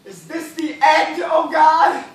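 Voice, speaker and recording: An actor playing a weary, formal gentleman castaway in an amateur stage play, declaiming with stubborn reason and despair. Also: very high voice, steady tone, slow pace. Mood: anguished despair. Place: theatre stage, recorded from audience. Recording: echoey stage, distant mic, noisy.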